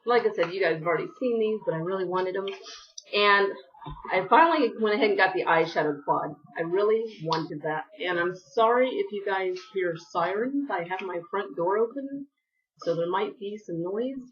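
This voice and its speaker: A woman's voice: mid-range voice, expressive, normal speed, far from the mic. Woman beauty vlogger, casual rambling haul chat, sniffly, a bit off-mic, dull lo-fi sound. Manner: chatty, rambling, apologetic asides. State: sniffly from allergies.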